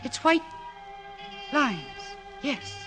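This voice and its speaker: A woman's voice: high-pitched, big pitch swings, drawn-out words, heavily acted. An actress playing an earnest, devoted woman psychoanalyst in a classic American radio drama, with an insistent, clinical manner. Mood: urgent, probing. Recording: restored old radio broadcast, orchestral music.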